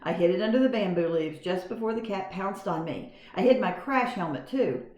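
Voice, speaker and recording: A woman voicing a small, desperate male pet mouse who squeaks, pleads and bargains to get out of his cage. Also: animated, fairly quick, a little mellow, slight background noise, close to an everyday voice. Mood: pleading. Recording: roomy echo.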